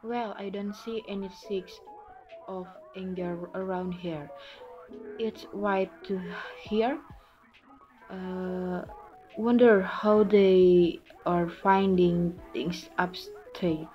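A young woman plays a wistful farm wife who speaks up loyally for a neighbour. The lines come very slowly and hesitantly, as in amateur drama. The recording is muffled, with music behind. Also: medium pitch, steady tone. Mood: uneasy. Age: young adult. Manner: halting, hesitant delivery. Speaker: student actor in a class play. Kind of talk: amateur stage drama dialogue. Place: small curtained room at home. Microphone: phone or laptop at arm's length.

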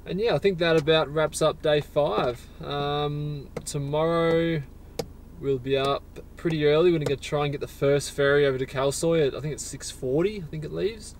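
A young man talking to a handheld camera in a relaxed, enthusiastic, casual travel-vlog style, outdoors and in a car. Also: a little high, moderate pace, slight background noise. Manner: relaxed, enthusiastic, chatty with fillers. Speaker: travel vlogger. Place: outdoors on windy cliffs and inside a car. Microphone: camera-mounted mic, held at arm's length.

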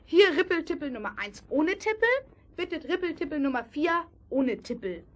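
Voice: sits high, big pitch swings, moderate pace. A performer playing a bossy, cheerful child who leads party games in a children's audio play, eagerly giving instructions. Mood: explaining.

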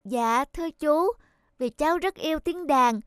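A woman voicing an earnest, polite, determined young boy in an animated cartoon. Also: high voice, unhurried, no echo, studio quality. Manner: polite, earnest, heartfelt delivery.

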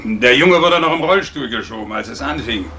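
An actor playing a guarded, long-serving scrapyard worker in a radio crime drama, answering in a dry, hesitant, matter-of-fact way. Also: male voice, mid-range voice, animated, fairly quick, bright, forceful tone. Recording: clearly noisy, outdoor-like ambience.

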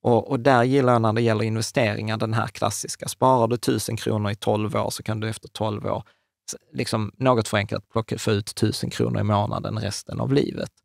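A man's voice: on the low side, little pitch movement, creaky, no echo. A man in his thirties co-hosting a podcast, explaining with enthusiasm in a casual chat, speaking into a table studio mic in a small room. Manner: enthusiastic, restarts and fillers, gestures while talking. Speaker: podcast co-host. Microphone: large studio mic on a table stand.

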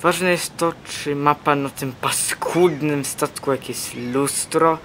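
A man's voice: on the high side, fairly even intonation, slow, a bit bright, slight background noise. A young man acting a vain, haughty, ungrateful character, Pride, in an amateur radio play. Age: young adult.

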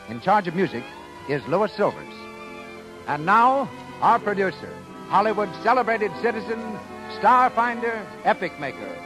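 A man's voice: high-pitched, poor audio. An American man announcing in a formal, declamatory 1930s radio style. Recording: 1938 radio broadcast. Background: music.

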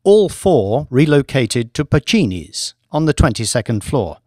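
A man's voice: medium pitch, big pitch swings, measured pace, a little mellow, no room sound, studio quality. A man narrating scripted fiction as an audiobook reader.

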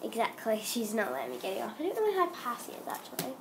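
A woman's voice: a little high, huge pitch swings, quick pace, vocal fry, slight background noise. A young girl vlogging at home, chatting in a rambling way with lots of ums while she has a cold. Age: child. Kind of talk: casual chatty vlog.

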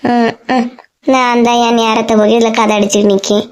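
A blunt, gossipy neighbour woman from a comedy cartoon as a woman acts her. She speaks in Kanyakumari Tamil with a scolding edge, quick to get irritated. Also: a little high, flat delivery, lightly acted. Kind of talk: comic cartoon skit.

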